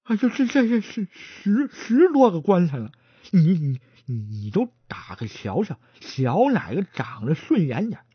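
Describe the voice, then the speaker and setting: A man's voice: high-pitched, very animated, slightly rough, no echo, highs cut off, full character voice. A man putting on a stammering timid constable. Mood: fretful. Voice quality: heavy stutter, halting repeats. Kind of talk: traditional storytelling, acted dialogue.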